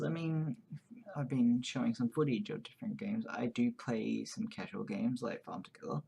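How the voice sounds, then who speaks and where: Creaky, husky young man chatting candidly. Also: medium pitch, a little mellow. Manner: rambling, candid, laughs at self. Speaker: vlogger. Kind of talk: casual personal chat to camera. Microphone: new microphone, quiet level.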